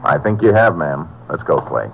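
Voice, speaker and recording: Very low-voiced, steady Texas lawman. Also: moderate pace, gravelly, dull audio, very noisy, exaggerated voice. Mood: calm, businesslike. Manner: laconic, polite, firm. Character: steady, courteous Texas Ranger lawman in charge. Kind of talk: 1950s radio drama acting.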